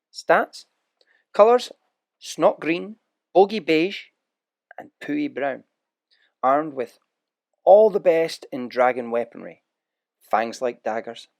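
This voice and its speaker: A man narrating a children's story aloud in an expressive, unhurried way with dramatic pauses. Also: mid-range voice, creaky.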